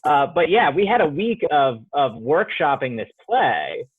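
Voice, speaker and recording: A man's voice: on the high side, big pitch swings, a little slow, husky, no echo. A young man from Iowa talks through a narrow, phone-like line with no room sound at all. He is a student playwright on a remote call, and he rambles and restarts his thoughts as he goes. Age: young adult. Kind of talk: remote interview conversation. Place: home studio apartment. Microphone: phone or video call. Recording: dry tinny phone line.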